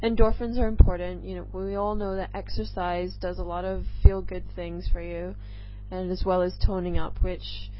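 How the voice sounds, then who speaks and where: A woman's voice: fairly low pitch, level tone, normal speed, slight background noise. A young woman from England, with a mixed accent that sounds mostly American, hosts her podcast as a casual solo chat. She talks nervously and rambles, with lots of ums. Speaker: podcast host. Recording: dull lo-fi recording, faint music.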